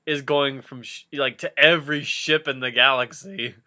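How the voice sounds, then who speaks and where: A man's voice: medium pitch, fairly even intonation, normal speed, sharp tone. A man doing a comic dramatic reading with casual, jokey commentary, easily amused by the story. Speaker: reader and commentator.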